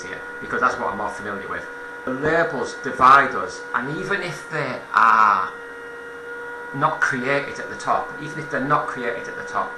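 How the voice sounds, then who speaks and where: A man delivers an off-the-cuff political monologue at a middling pitch. He halts, corrects himself and repeats phrases to press his point. The recording is roomy and echoey, with a clear background hum. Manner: halting, self-correcting, repeats phrases for emphasis. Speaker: political commentator. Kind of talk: informal spoken political monologue.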